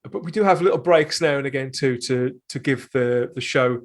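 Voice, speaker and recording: A man's voice: mid-range voice, moderate pace. A man talking casually as a podcast guest and reviewer, discursive and often rephrasing himself, with wry understatement.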